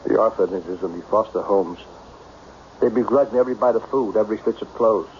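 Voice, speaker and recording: An actor playing a lonely self-made millionaire, blunt, brooding and self-pitying, in an old-time radio drama style. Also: male voice, lowish voice, fairly even intonation, a little fast, close to an everyday voice. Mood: bitter, dejected. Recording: dull, noisy old radio broadcast.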